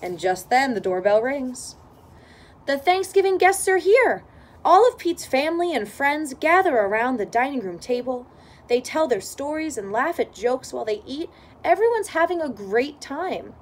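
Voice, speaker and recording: A woman reading a children's storybook aloud in expressive narration. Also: high voice, normal speed. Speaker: storybook reader.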